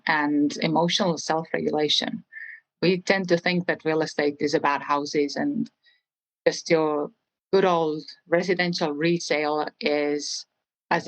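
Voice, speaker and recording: Low, even-pitched Estonian-accented woman. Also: no echo, poor audio. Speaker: interview guest, real estate trainer. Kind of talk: conversational interview.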